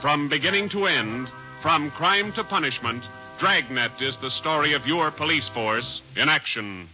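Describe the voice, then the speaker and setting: A man's voice: on the high side, expressive, unhurried, dull audio. A man as a radio network announcer, reading a formal, declamatory introduction. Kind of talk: 1950s radio show announcing. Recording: old radio recording with music behind.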